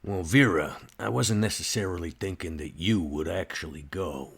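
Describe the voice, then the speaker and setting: Guy doing a super deep raspy streetwise vampire, gruff, slangy, hard-boiled rpg character. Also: moderate pace, heavily acted. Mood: businesslike. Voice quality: gravelly, husky.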